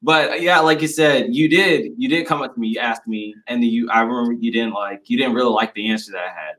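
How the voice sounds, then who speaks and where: A young man in a relaxed chat, his voice sitting in an ordinary middle range. He speaks earnestly and reflectively, often pausing to rephrase as he thinks. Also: mid-range voice. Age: young adult. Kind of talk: casual chat.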